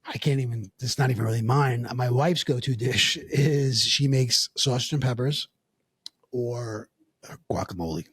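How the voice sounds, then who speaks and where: A man's voice: medium pitch, a little slow, fairly bright tone, breathy edge, no echo. A man co-hosting a casual podcast, laid-back and trailing off into restarts as he chats with friends. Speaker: podcast co-host. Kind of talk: casual chat.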